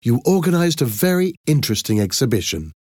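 A man acts out an excitable young woman from a storybook, determined and cheerful. The voice is raised in pitch and swings eagerly with emphatic stress, in a dry, close sound. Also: brisk, no room sound. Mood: congratulating.

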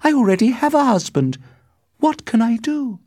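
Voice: medium pitch, very animated, measured pace, a little mellow, no echo, studio quality, voice pushed up. A performer voicing a lovesick, distressed young noble daughter in a storybook reading, earnest and pleading.

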